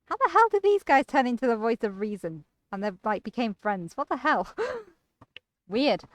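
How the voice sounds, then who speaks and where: A woman's voice: on the high side, big pitch swings, normal speed, no echo, clean studio sound. A woman reacting casually as she livestreams a game she reads aloud. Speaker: streamer reading a visual novel aloud. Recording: music behind the voice. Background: music.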